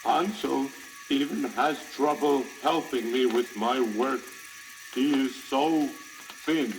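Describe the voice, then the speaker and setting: An actor playing a meek, kindly, worried woodcutter father with a halting, pleading manner on an old children's story record. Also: medium pitch, a little hiss or hum, natural voice, poor audio.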